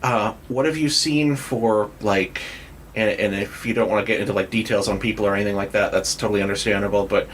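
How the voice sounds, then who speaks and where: A man with a moderately low voice hosts a casual podcast interview. He phrases things tentatively and takes a roundabout path to each question. There is light background noise around him. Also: fairly even intonation, fairly quick.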